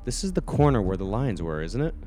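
A man's voice: lowish voice, big pitch swings, brisk, dark tone, soft background music. A man playing a dismissive, firm husband and father in a radio play. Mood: troubled.

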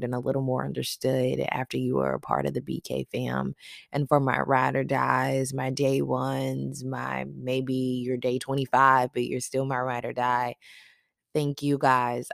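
Faith podcast host with a raspy, gravelly morning voice; chatty, speaks directly to listeners; dry room. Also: medium pitch, no room sound. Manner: chatty, affectionate toward listeners. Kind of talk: casual faith podcast talk.

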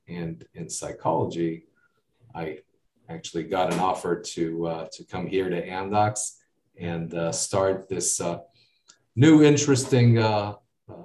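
A man's voice: deep voice, darkish tone, slight background noise. A man from the United States with an American accent, chatting as an interview guest in a rambling way full of fillers and asides. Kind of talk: casual interview talk. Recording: echoey room, distant mic.

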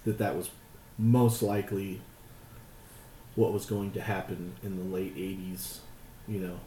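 A man's voice: low voice, level tone, unhurried, dark tone. A middle-aged American man from rural Utah, chatting casually and choosing his words hesitantly. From rural Utah, United States. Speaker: podcast participant. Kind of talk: casual chat. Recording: roomy echo, clear background noise.

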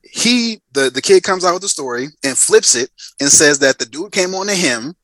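A man's voice: on the high side, expressive, normal speed, bright, forceful tone, no room sound, low-quality recording. A man in his thirties, a podcast guest, telling a story in emphatic casual chat and repeating lines for effect. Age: 30s.

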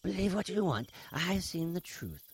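A man plays a knowing reptilian military commander in an audiobook. The voice is husky and pitched well above a deep narrator's, moving in wide arcs, yet stays composed and earnest. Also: very animated, moderate pace, full character voice. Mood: calm, grave. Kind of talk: audiobook character dialogue.

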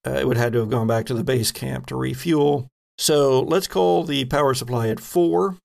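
A man working as game master, narrating events and rules in a halting, think-aloud way. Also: medium pitch, vocal fry, darkish tone. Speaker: game master of a tabletop role-playing podcast. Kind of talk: improvised game narration, explaining rules and outcomes.

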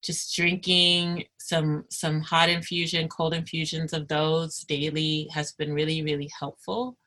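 A woman's voice: deep voice, level tone, unhurried, sharp tone. A woman hosting an interview, chatty and casual with slang and easy laughter. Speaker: interview host.

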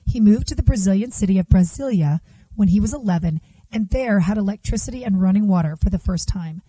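A woman's voice: mid-range voice, a little fast, mellow, breathy edge, no echo, low-quality recording. A woman co-hosting a podcast, reading a guest introduction before moving into chatty interview talk. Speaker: podcast co-host. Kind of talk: scripted bio introduction then casual chat.

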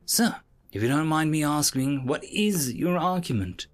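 Man doing a nervous, high-voiced alien assistant. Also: animated, measured pace. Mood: nervous, questioning. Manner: hesitant, questioning. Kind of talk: science fiction audio story.

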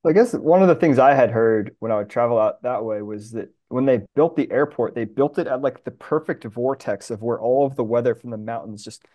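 A man's voice: mid-range voice, fairly quick, few pauses, muffled recording. A man chatting casually as a guest on a podcast. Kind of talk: casual chat.

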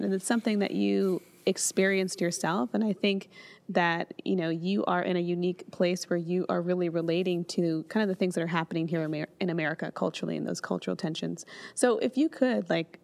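A woman's voice: fairly low pitch, fairly even intonation, fairly quick, vocal fry. A woman hosting a podcast, asking questions in an engaged, encouraging way. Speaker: podcast host. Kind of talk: interview questions.

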